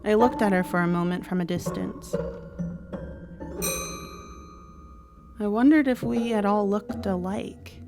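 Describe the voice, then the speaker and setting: Troubled teen girl, played by a woman, telling her story in horror radio drama, measured delivery with dramatic pauses, music underneath. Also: medium pitch, natural voice. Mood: wistful, tender. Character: troubled teenage girl narrating, protective of her sister. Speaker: actor narrating. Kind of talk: first-person horror audio drama monologue.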